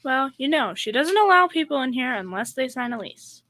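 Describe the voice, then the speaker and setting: A woman's voice: sits high, very animated, moderate pace, bright, forceful tone, full character voice. A young woman playing a naive, easily frightened roommate in an old-time radio comedy style. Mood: naive, earnest.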